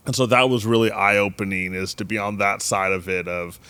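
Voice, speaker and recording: Low-voiced young man telling stories eagerly. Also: a little fast, pushed and bright, smooth. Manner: enthusiastic storyteller, acts out quotes. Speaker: church creative director. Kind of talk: casual podcast chat.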